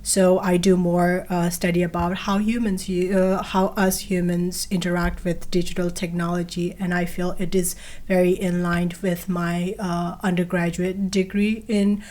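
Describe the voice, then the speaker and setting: A woman's voice: fairly low pitch, little pitch movement, normal speed, a little mellow. A young woman from Nepal, a guest on a podcast interview, speaking hesitantly with many fillers and restarts. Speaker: guest, PhD candidate.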